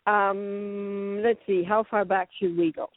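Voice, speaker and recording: A woman's voice: medium pitch, flat delivery, slow pace, no room sound. A middle-aged woman raised in Venezuela and Canada, a guest actress chatting in an interview, rambling and laughing often. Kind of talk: casual interview chat. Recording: tinny phone line.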